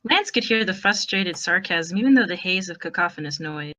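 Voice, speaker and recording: Female narrator for fan fiction, bright and expressive, on the lower side. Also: brisk, muffled recording.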